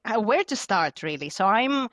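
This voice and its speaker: A woman's voice: medium pitch, very animated, moderate pace, fairly bright tone, no room sound, clean studio sound. A woman in her thirties from Greece with a Greek accent, chatting as a podcast guest with many fillers and restarts. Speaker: podcast guest, tech delivery lead. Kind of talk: conversational interview answers.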